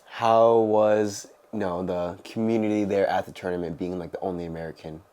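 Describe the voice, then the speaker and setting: A man's voice: sits low, fairly even intonation, normal speed, darkish tone. A man co-hosting a casual podcast, asking short, laid-back questions and reacting.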